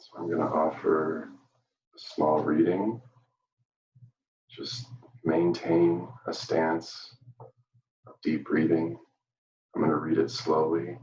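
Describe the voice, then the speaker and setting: A man guides a meditation in a very low, even-toned voice. He speaks gently and soothingly, leaving long pauses between phrases. The sound is roomy, as if the microphone sits some way off. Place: indoor room, live virtual event. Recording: dull, roomy, distant microphone.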